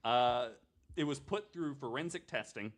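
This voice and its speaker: A man's voice: mid-range voice, unhurried. A man hosting a podcast, telling a true crime story in a casual, chatty way with joking asides.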